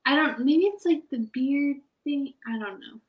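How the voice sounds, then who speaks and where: Blunt, slightly high-voiced woman. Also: normal speed. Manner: blunt, scolding. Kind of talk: casual podcast banter.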